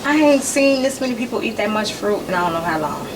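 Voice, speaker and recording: A woman in her forties chatting casually as a podcast guest, talking about her work in short relaxed phrases. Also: mid-range voice, fast. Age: 40s. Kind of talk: casual chat. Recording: noisy, distant mic.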